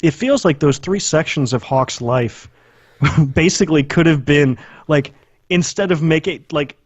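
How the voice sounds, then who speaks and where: Man, podcast host, slightly high voice, casual, blunt, hyperbolic, dry lo-fi sound. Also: unhurried, no echo. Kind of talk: casual video game discussion.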